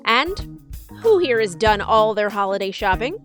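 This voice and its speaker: A woman's voice: medium pitch, big pitch swings, unhurried, fairly bright tone, faint music behind. A woman hosting a podcast in a scripted news-magazine style. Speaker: podcast host.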